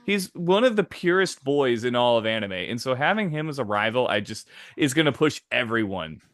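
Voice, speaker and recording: A man of average pitch chats casually as a podcast co-host. He leans on his points with enthusiasm. The recording is dry, free of room sound. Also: sing-song, moderate pace, fairly bright tone, dry recording. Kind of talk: casual chat.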